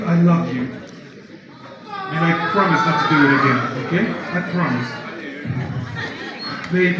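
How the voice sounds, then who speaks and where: A man's voice: a little high, very noisy. A man playing a tipsy, pleading lawyer husband. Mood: apologetic. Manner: pleading then shouting, swearing. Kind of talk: live stage play acting. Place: theatre stage. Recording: echoey hall, far mic, dull sound.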